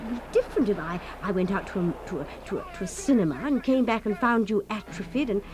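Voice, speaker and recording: A woman's voice: medium pitch, fast, lightly acted. An actress playing a doting, fretful wife in a radio comedy-drama, overwrought and gushing, smothering her husband with emphatic devotion. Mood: tearful devotion.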